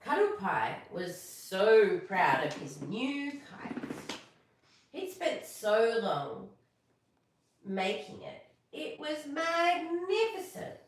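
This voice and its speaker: An Australian woman hosting a live stream, moving between casual chat and expressive storybook reading. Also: a little high, drawn-out words, slight background noise. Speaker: live stream host reading bedtime stories. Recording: echoey room, distant from mic.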